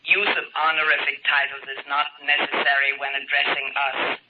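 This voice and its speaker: An actor playing an impersonal, officious computer in a 1950s American radio drama, formal and clipped. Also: male voice, medium pitch, completely flat delivery, normal speed, natural voice. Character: impersonal, officious central computer, male-voiced. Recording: noisy, distant, narrow-band old radio recording.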